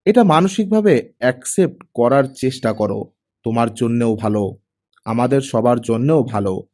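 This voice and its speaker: A man's voice: mid-range voice, lively intonation, moderate pace, mellow, close to an everyday voice. A man voicing a stern wife in an audiobook drama, speaking in a cold, scolding, cutting manner. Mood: cold, sharp. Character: stern, sharp-tongued wife of a retired officer.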